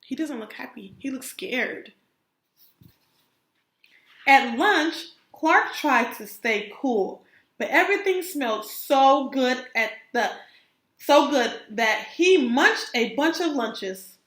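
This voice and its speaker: A woman's voice: high voice, animated, slow, a bit bright, echoey room. A woman teacher reading a children's story aloud expressively, stopping to put questions to young listeners. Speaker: kindergarten teacher.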